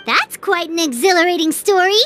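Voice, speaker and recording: A woman voicing a small, curious, chatty fairy companion in a video game, squeaky and childlike, exclaiming as she talks. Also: lively intonation, a little fast, fairly bright tone. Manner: exclamatory, refers to self in third person. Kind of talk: animated game voice acting. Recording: music behind the voice.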